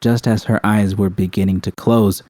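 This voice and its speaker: A man's voice: fairly low pitch, fairly even intonation, moderate pace, a little mellow, no room sound, clean studio sound. A man reading scripted narration from a story as an audiobook narrator. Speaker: narrator of a fan fiction audiobook.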